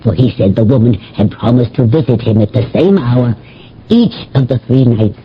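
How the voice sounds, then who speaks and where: Male actor as devoted ancient household slave, thoughtful and formally dramatic, mid-pitched, on a dull old radio play recording. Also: animated, normal speed, a little hiss or hum, close to an everyday voice. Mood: anguished.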